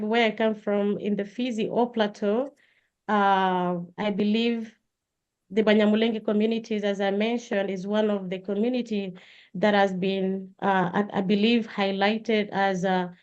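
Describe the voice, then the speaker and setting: A middle-aged woman from Congo speaks as a panelist on a call, earnest and halting, often pausing to find her words. Her voice sits at a middle pitch, and the audio comes through dull and lo-fi. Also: clean tone. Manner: earnest, halting with many fillers.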